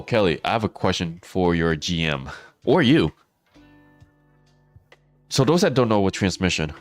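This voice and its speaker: A man with a very low, raspy voice, hoarse from losing it, talks easily. The sound is close and dry, with soft music playing behind him. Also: dry recording. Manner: chatty, talks to viewers by name, laughs often. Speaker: streamer. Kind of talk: casual livestream chat.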